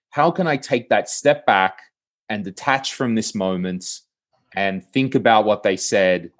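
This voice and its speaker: A man with an Australian accent, a comedy producer talking as a podcast guest in a casual, jokey way. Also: fairly low pitch. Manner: animated, jokey, laughs often. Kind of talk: casual interview chat.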